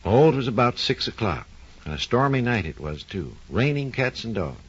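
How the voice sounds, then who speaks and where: An actor playing a learned, enthusiastic doctor who recounts history in emphatic, scripted old-time radio narration. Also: male voice, mid-range voice, big pitch swings, normal speed. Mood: enthusiastic, storytelling. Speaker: expert storyteller. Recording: dull, husky old radio recording.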